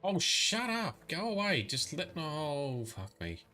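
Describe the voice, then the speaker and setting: Chatty bright-voiced male streamer. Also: a little high, big pitch swings, takes it slowly, soft background music. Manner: chatty, rambling, muttering asides and complaints. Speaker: livestreamer playing a game. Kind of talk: casual live gameplay commentary.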